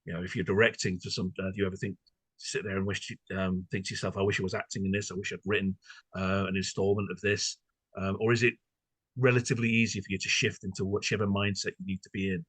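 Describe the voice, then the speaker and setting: Bright, slightly husky male interviewer. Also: deep voice, level tone, fast talker, no echo. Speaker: podcast host, interviewer. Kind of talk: conversational interviewing.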